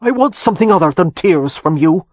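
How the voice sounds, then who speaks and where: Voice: medium pitch, animated, fairly quick, darkish tone, no room sound, close to an everyday voice. A man voicing a shrewd, proud old earl with an Anglo-Irish accent and a dry, graceful delivery in an audiobook. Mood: demanding, dry. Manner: aristocratic, graceful, dry, commanding. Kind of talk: audiobook character dialogue.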